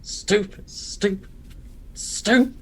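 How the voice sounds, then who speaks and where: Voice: mid-range voice, big pitch swings, moderate pace, gravelly, breathy edge, heavily acted. A performer voicing a grumpy, skittish male bird-folk creature with a slight Cockney accent who snaps out insults. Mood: snapping. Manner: snappish, spits insults.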